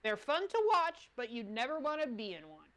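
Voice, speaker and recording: Wry woman streamer with a higher voice. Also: big pitch swings, a little fast, fairly bright tone. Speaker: streamer reacting to a game series. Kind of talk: casual live reaction chat.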